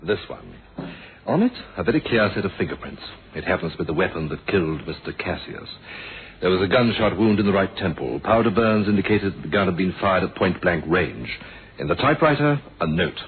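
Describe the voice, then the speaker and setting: An actor playing a calm, shrewd male police inspector in a radio mystery, explaining in a deliberate, measured way and pressing his point. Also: low-pitched. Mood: grave, assured. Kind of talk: 1970s radio mystery drama acting. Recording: muffled, noisy old radio drama recording.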